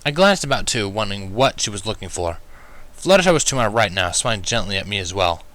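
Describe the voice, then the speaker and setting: A man reading fan fiction aloud as an animated first-person narrator. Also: lowish voice, fast talker.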